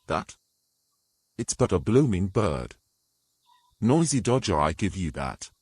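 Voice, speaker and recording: A British man playing a bold, gruff, teasing knight in a fantasy audio drama, with a wry, brash manner and old-fashioned turns of phrase. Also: low voice, sing-song, slow pace, a little mellow, exaggerated voice. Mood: dismissive.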